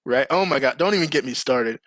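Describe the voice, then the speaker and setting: A man's voice: medium pitch, expressive, brisk, fairly bright tone, no echo. A man hosting a podcast in casual, slangy, informal chat. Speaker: podcast host.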